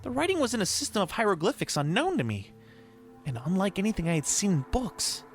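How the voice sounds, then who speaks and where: A man reading a horror tale aloud as a dramatic audiobook narrator, in a deliberate, theatrical storytelling manner. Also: sits high, big pitch swings, soft background music. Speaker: podcast host reading a story. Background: ambient soundscape.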